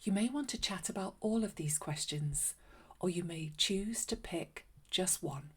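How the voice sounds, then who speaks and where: A woman narrating a read-aloud story for children in a gentle, unhurried, expressive way. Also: fairly low pitch, breathy edge. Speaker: children's story reader and host.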